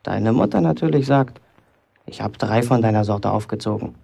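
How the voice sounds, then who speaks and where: A defiant young bricklayer's apprentice holds forth in a radio play. His voice is soft-edged and mellow, with a gravelly creak. He argues like a self-appointed prosecutor, pompous and sarcastic, piling up rhetorical questions. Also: on the low side, normal speed, full character voice. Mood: bitter, pitying. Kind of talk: German radio play acting.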